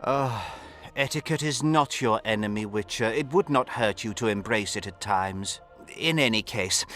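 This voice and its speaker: A man's voice: mid-range voice, a bit bright. A man playing a pompous, sly aristocratic count in a video game, speaking in a theatrical, florid courtly manner with mocking, suggestive emphasis. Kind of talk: video game character dialogue.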